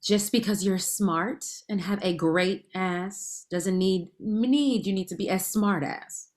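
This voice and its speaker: A woman's voice: medium pitch, slow pace, darkish tone, close to an everyday voice. A woman playing a sassy, blunt, man-hungry best friend in a comedy table read, brassy and emphatic. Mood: teasing, blunt.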